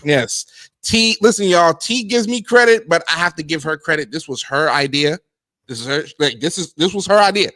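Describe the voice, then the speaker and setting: A man co-hosting a casual livestream, relaxed and repetitive, hyping up his co-host. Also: on the high side, moderate pace, no echo. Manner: relaxed, repeats himself, warm hype-man talk.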